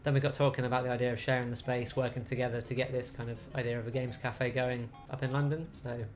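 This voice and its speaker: A man who runs a games cafe talks casually and at length, with lots of fillers. His delivery is flat and even, and soft guitar music plays in the background. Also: mid-range voice, fast talker. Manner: relaxed, rambling, uses many fillers. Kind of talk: interview about his business.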